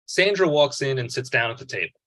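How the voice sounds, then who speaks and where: A man's voice: medium pitch, expressive, a little fast, fairly bright tone. A man reading stage directions as scripted narration at a script table read.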